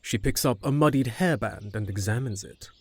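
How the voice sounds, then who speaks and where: A man's voice: medium pitch, normal speed, slightly rough. A man reading scripted narration for a fantasy audio drama.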